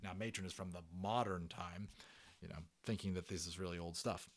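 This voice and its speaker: A man's voice: low voice, steady tone, brisk. A man narrating a children's audiobook as a dramatic reading, with casual explanatory asides.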